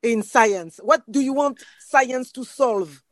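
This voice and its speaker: A woman's voice: high voice, slow. A woman asking questions as an interviewer.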